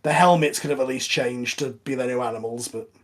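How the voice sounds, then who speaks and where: A man's voice: mid-range voice, fairly quick, fairly bright tone. A man giving casual, conversational movie commentary as part of a podcast.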